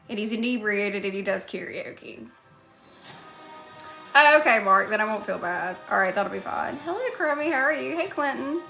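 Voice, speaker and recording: A woman's voice: a little high, sharp tone. A woman live streaming, chatting casually and thanking viewers by name as she goes.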